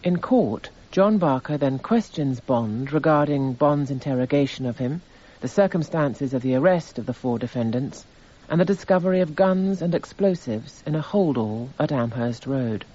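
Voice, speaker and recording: An actor playing a detached narrator, reading measured, descriptive scripted narration for a radio drama. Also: male voice, mid-range voice, lively intonation, warm tone, natural voice. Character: detached male narrator listing evidence items. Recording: slightly dull radio recording.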